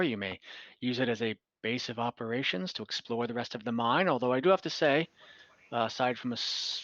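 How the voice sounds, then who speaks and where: A man voicing an old kobold leader and grandfather in a tabletop game, speaking politely in halting, measured phrases. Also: mid-range voice, a bit bright, no room sound, muffled recording, close to an everyday voice.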